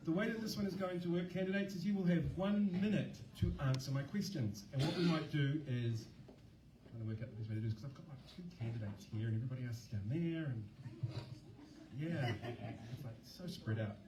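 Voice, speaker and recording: A chatty New man hosts a forum in a slightly higher-than-usual voice, joking his way through the rules. He sounds distant and roomy, caught across an echoing hall. A steady hiss sits under him. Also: brisk. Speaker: host and moderator. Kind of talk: casual moderating at a candidates' forum. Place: community centre hall. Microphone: handheld mic passed around, far from the recorder. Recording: echoey hall, distant mic, background hiss.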